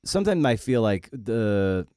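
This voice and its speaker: A middle-aged man hosting a podcast interview, conversational and reflective, feeling his way haltingly through long, rambling questions. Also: on the low side, very animated, warm tone, no room sound, clean studio sound. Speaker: podcast host.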